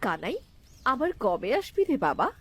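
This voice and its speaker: A woman's voice: mid-range voice, big pitch swings, moderate pace, a little hiss or hum, natural voice. A woman voicing a motherly, chatty village aunt in a cartoon, doting and ready to scold. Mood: affectionate. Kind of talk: cartoon character dialogue. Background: music.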